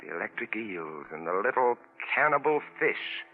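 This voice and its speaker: A male actor playing a tense stranded adventurer, telling his jungle ordeal in a dramatic, deliberate old-time radio drama style. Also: lowish voice, very animated. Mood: grim, haunted. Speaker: actor narrating and playing lead. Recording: tinny vintage radio broadcast with music behind.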